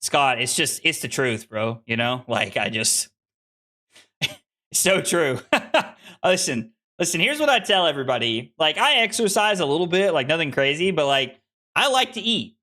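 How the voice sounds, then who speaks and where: Bright-voiced streamer in his late twenties. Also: on the high side, big pitch swings, measured pace. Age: 20s. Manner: rambling and self-deprecating, frequent restarts. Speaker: card game streamer. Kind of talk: casual live-stream chat with viewers.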